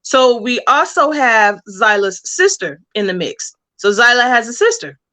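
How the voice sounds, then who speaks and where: A woman's voice: fairly high pitch, sing-song, a little slow, sharp tone. A woman speaking casually as an interview guest, explaining plans for a story as a comic book writer.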